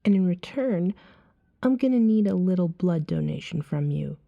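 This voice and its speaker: A woman voicing a seductive, gently hypnotic female vampire in a soft ASMR roleplay, breathy and often near a whisper, coaxing and soothing as she tells a story to the listener. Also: on the low side, animated, lo-fi sound. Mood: coaxing, teasing. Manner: slow, soothing, hypnotic second-person narration. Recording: long hall-like reverb.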